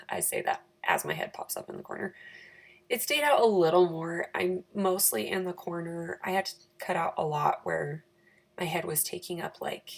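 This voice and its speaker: Raspy, creaky-voiced woman vlogger. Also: lowish voice. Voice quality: raspy, croaky. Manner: chatty, self-deprecating. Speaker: planner channel host. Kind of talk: casual craft voice-over.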